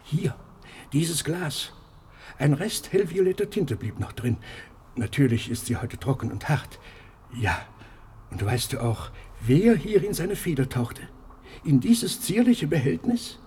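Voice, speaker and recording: Fretful old husband, wildly swooping voice. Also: male voice, a little high, big pitch swings, measured pace, long pauses, a little mellow, slight background noise. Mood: wistful, brooding. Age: older. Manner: agitated, lamenting, rambling, self-interrupting. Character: fretful, proud old husband guarding his life's collection. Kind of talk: German radio play acting.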